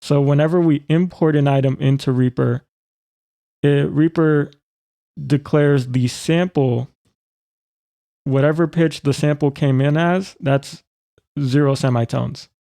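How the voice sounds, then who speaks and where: Creaky, mellow man giving a software tutorial. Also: a little high, fairly even intonation, unhurried, long pauses, no room sound, studio quality. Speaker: tutorial presenter. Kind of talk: informal step-by-step software demonstration.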